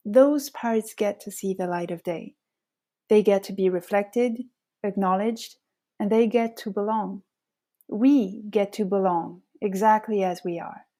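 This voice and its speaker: A woman in her thirties from France, a native French speaker with a French accent, hosting a podcast with measured, scripted personal narration. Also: mid-range voice, dark tone. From France, Paris suburbs. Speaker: podcast host.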